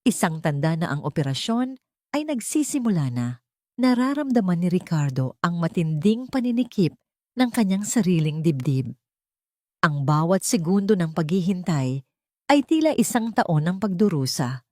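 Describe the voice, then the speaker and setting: A woman narrates a scripted drama in a slightly low voice. Her delivery is measured and dramatic, and the sound is dry and close. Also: very animated, warm tone, no room sound. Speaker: audio story narrator.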